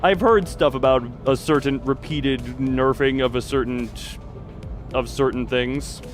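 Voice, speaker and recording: A man's voice: mid-range voice, steady tone, a little slow. A man chatting casually on a game livestream, rambling with playful asides. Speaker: livestreamer playing and voicing a game. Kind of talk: casual live stream chat. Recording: faint game music behind.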